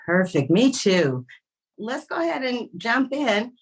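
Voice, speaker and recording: A woman hosting a podcast interview, encouraging and expressive, now and then slipping into playful put-on voices. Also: on the high side, slow pace, husky. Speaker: podcast host.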